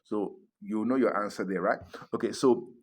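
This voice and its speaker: A man's voice: fairly low pitch, moderate pace, husky. A man vlogging about moving abroad, talking casually to camera, emphatic, repeating his points and chuckling often. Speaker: relocation vlogger.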